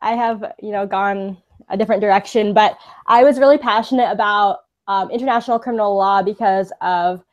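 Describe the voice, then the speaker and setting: A woman's voice: mid-range voice, a little fast. A young woman in her twenties from the San Francisco Bay Area, a guest speaking casually and upbeat on a live webcam video call, laughing often and using many fillers. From San Francisco Bay Area, USA. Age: 20s. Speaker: interview guest, pageant delegate. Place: indoor room, sitting on a sofa.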